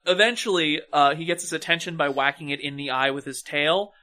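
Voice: medium pitch, a little fast, pushed and bright. A podcast co-host talking casually about a film in a wry, opinionated, sweary way.

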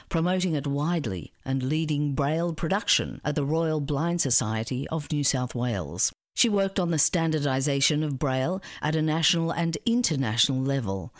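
A woman's voice: low-pitched, no room sound, studio quality. A woman with an Australian accent presenting a podcast in scripted narration. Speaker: podcast host.

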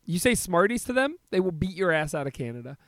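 A man's voice: high voice, big pitch swings, quick pace, fairly bright tone, no room sound, clean studio sound. A man, probably in his thirties, co-hosting a podcast in casual comedic chat, joking and often laughing as he talks. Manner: jokey, laughs often while talking. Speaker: podcast co-host.

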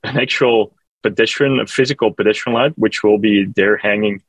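Male company rep, lower pitch, hesitant explainer, dry sound. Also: breathy edge, dry recording, rough recording. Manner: hesitant, halting with many fillers and restarts. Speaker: app company representative answering questions. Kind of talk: casual call-in Q&A.

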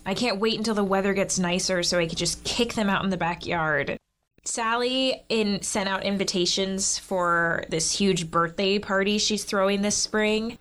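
A woman's voice: medium pitch, a bit bright, lightly acted. An actress in an audio drama playing a harried, caring working mother with a frank, conversational, wry manner.

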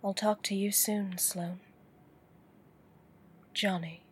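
A woman playing a guarded, alluring female client with a dangerous past in a noir audio drama, speaking softly and with measure. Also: medium pitch, steady tone, slow, a little hiss or hum, lightly acted.

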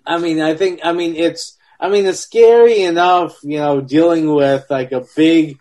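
A man of about forty-two talks film in a casual panel. His pitch sits a little above average, and he builds his points haltingly, often starting over. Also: darkish tone. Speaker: podcast panelist.

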